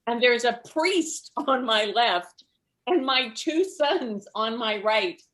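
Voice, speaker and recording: A middle-aged woman telling stand-up stories in a conversational way, with dramatic pauses. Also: on the high side, expressive, fairly bright tone. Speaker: stand-up comedian. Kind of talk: stand-up comedy storytelling. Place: online video event.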